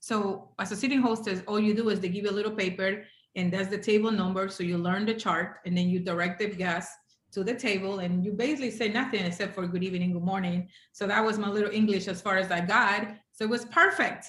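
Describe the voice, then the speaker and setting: A woman's voice: medium pitch, fairly even intonation, fast talker, fairly bright tone. A woman from Ecuador, a native Spanish speaker with an Ecuadorian accent, telling her story conversationally and animatedly as a podcast guest. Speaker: podcast guest, hotel housekeeping director. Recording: roomy, echoey sound.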